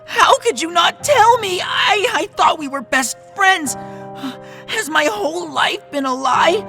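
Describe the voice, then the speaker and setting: A woman's voice: extremely high pitch, lively intonation, measured pace, bright, forceful tone, faint music behind, heavily acted. A woman voicing an earnest young male hero in an audio drama. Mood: anguished. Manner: earnest, pleading.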